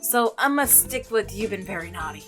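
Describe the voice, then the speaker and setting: A woman hosting a visual novel playthrough, reading aloud and commenting in a playful, expressive manner. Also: medium pitch, moderate pace. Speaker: gaming video host. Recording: music behind the voice.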